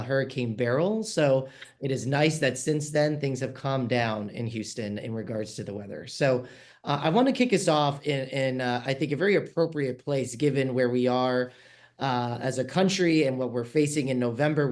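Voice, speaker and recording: A man from New York moderating a virtual panel, friendly and a little halting, with frequent fillers. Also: mid-range voice, darkish tone. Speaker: panel moderator, managing editor. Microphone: video call.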